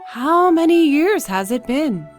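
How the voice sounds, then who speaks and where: Storybook role: fond elderly wife and grandma read by a woman, pitched high, animated delivery. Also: measured pace, soft background music. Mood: surprised. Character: caring old Japanese grandmother, wife of the storyteller. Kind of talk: storybook character voice for children.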